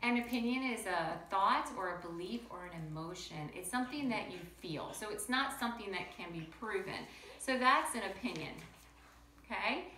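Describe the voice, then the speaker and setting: Female primary-style teacher for read-aloud lesson: crisp bright tone, addresses children directly, recorded in a reverberant room. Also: mid-range voice, expressive, moderate pace. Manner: chatty, questioning, addresses young listeners directly. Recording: roomy echo with faint background noise.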